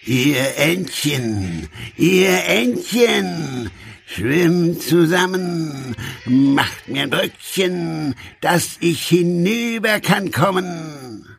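A man's voice: a little high, expressive, very slow, vocal fry, fairly bright tone, slightly rough, full character voice. An actor playing a wicked, greedy old man-eating ogre in a fairy-tale audio play, growling and chanting in an ugly, dragged-out voice. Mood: growling, coaxing.

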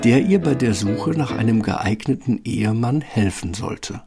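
A man narrating a scripted fairy tale as a storyteller, with a gravelly, husky voice. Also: medium pitch, expressive, measured pace, music in the background.

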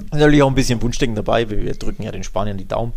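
A man's voice: lowish voice, rapid-fire, vocal fry, a little mellow. A man chatting casually as co-host of a football podcast, often tacking short questions onto his sentences. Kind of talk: casual sports podcast chat.

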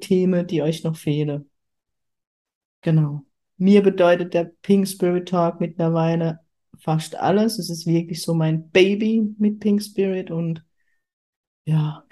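A woman's voice: lowish voice, warm tone. Effusive, chatty woman podcast host. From Kurpfalz, southwest Germany. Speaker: podcast host, spiritual medium. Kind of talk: casual, spontaneous podcast chat.

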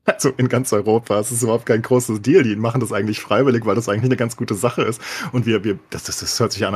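A man speaks in a slightly low, husky voice. He talks very quickly, in emphatic, colloquial language, as in an opinionated podcast discussion. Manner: emphatic, colloquial with English slang. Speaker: podcast co-host.

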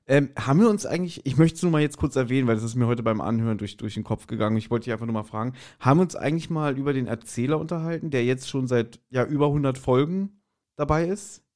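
A man's voice: mid-range voice, quick pace, darkish tone. A man chatting casually as a podcast co-host, recapping a story and often breaking into amused asides. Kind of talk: casual chat recapping and reviewing an audio drama.

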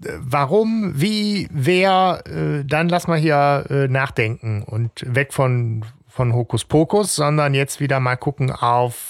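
A man co-hosting a fan podcast, recapping an audio drama's plot in quick bursts during a casual discussion. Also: medium pitch, dry recording, studio quality. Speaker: podcast co-host.

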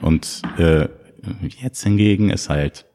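A man with a notably low voice talks as a podcast guest. He is from Munich and tells his stories with energy, often breaking into a chuckle. The talk is loose and conversational. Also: slow. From Munich, Germany. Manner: lively storyteller, chuckles while talking. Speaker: podcast guest, crypto shop founder.

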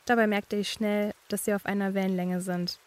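A woman playing a young student who narrates her eerie experiences in a measured, scripted first-person style. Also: medium pitch, little pitch movement, natural voice. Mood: measured, uneasy.

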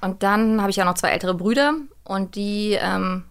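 Creaky-voiced woman chatting informally. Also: medium pitch, steady tone, normal speed. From Berlin, Germany. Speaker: guest, voice actress and audio drama director. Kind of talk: casual interview talk.

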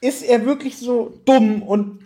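A man co-hosting a podcast, casually picking a story apart with mocking questions and quick acted quotes. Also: extremely high pitch, steady tone, dark tone. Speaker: podcast co-host.

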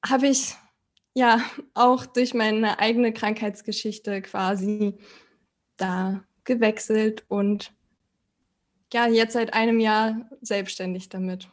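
A woman's voice: medium pitch, fairly even intonation, slow. A woman in her thirties from Berlin, a podcast guest who talks hesitantly and searches for words. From Berlin, Germany. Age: 30s. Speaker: podcast guest asking questions. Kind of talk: casual podcast conversation.

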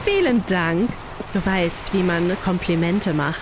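A woman's voice: on the low side, background noise. A woman playing a self-assured, flirtatious woman in a science-fiction radio play, teasing and wry. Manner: wry, unhurried. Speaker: voice actor in an audio drama.

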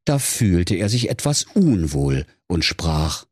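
A man's voice: low voice, sing-song, takes it slowly, breathy edge, no room sound, studio quality. A man narrating a comic fairy tale as a storyteller, in a dramatic storybook manner. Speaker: storyteller, podcast host.

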